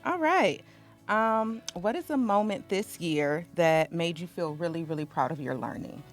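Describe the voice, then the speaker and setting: A middle-aged woman, an assistant principal, casually interviewing a high school student. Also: lively intonation, unhurried, soft background music.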